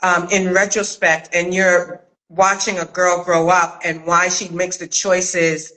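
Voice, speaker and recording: Slow-talking woman with a bright voice. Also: on the low side, level tone, low-quality recording. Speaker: host, acting coach and writer. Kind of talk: casual interview chat. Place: remote video call.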